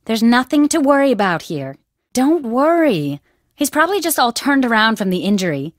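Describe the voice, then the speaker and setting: A woman's voice: on the high side, very animated, no room sound, studio quality. An actress playing a nervous, superstitious young woman student in a video game, fretful and easily spooked. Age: young adult. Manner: anxious, fretful, quick to panic. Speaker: game character, student on a dig.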